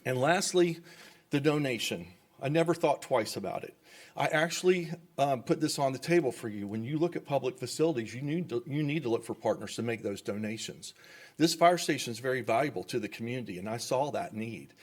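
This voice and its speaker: A man's voice: medium pitch, lively intonation, a little fast. A man speaking as a developer at public comment in a government meeting, enthusiastic and rambling with repeated words.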